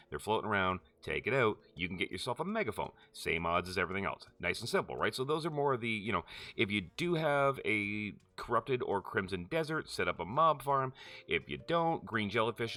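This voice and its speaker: A man casually narrating a game tutorial, chatty and friendly, checking in with quick asides. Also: bright, forceful tone. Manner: chatty, jumps high in pitch for quick check-ins. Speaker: gaming tutorial host.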